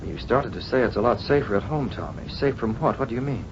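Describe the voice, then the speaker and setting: A man playing a composed, authoritative investigator in a vintage radio serial. He questions crisply at a quick clip in a medium-pitched voice. The recording is muffled and noisy. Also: lively intonation, natural voice. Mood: probing. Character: brisk, authoritative male boss, calmly probing investigator. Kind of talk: 1930s-40s radio drama acting.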